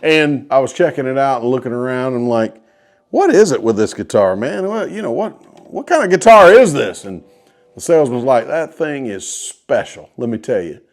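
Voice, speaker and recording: A man's voice: mid-range voice, expressive, normal speed. A man with an American accent telling a story casually to viewers in a folksy, enthusiastic way, as the host of a guitar video.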